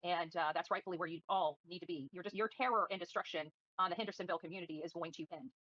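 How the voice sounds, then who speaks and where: A woman's voice: lowish voice, steady tone, rapid-fire, a bit bright, no echo, dull audio. A woman livestreaming commentary and answering viewers in a combative, defiant, scornful manner. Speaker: livestream host.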